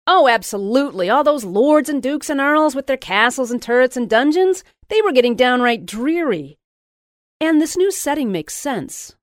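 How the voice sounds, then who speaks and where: A woman voicing an overexcited female literary agent who shouts in breathless exclamations and repeats her words. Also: high voice, expressive, normal speed, no room sound, studio quality, voice pushed up. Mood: gushing.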